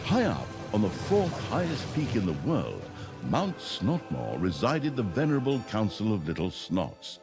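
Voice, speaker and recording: Male game narrator, mock-epic and wry, sweeping highs and lows, music underneath. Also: huge pitch swings, a little slow. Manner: theatrical, mock-grand storyteller with wry delivery. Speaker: narrator of a fantasy video game. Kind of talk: scripted comedic story narration.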